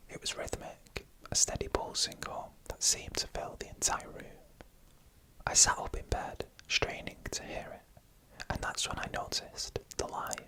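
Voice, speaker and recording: A storyteller whispering an eerie first-person tale in ASMR style, unvoiced and breathy, with deliberate, suspenseful phrasing. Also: poor audio.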